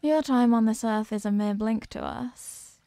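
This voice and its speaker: Woman voicing a slow, dark-toned, haughty eldritch goddess. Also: a little high, gravelly, no echo. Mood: ominous, condescending. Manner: imperious, condescending, deliberate. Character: ancient captive eldritch goddess, haughty, menacing, hungry destroyer. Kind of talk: slow horror audio roleplay monologue, ASMR.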